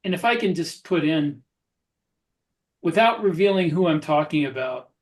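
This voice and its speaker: A man with a fairly high voice talks casually as a podcast co-host. He feels his way through his thoughts, reflective and a little hesitant. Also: lively intonation, normal speed. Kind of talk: casual discussion.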